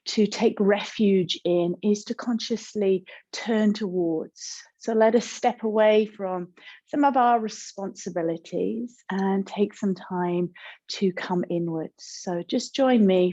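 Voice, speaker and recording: A woman leads a guided meditation in a calm, gentle manner. Her mid-range voice moves without hurry, pausing between short instructions. The recording is a little dull and lacks crispness. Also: medium pitch. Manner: gentle, calm, unhurried with pauses. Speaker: yoga and meditation teacher.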